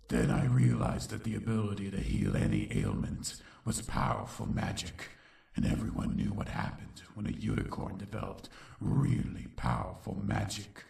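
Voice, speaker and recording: A man playing a grim, brooding male unicorn who tells the story of his youth in gravelly, dramatic narration, recorded with echo. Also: on the low side, darkish tone, heavily acted. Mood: ominous. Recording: roomy echo, distant voice.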